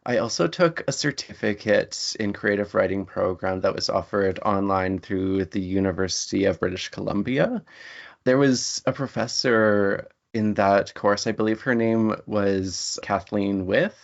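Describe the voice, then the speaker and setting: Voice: sits low, measured pace, creaky. A writer, speaking in an articulate, reflective way as a guest in an interview conversation. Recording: dull lo-fi audio.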